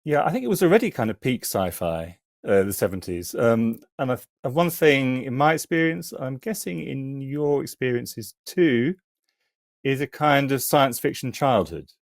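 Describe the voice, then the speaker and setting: Male academic guest, middle-aged, thoughtful and halting, very dry recording. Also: mid-range voice, animated, dry recording. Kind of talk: casual reminiscing and discussion.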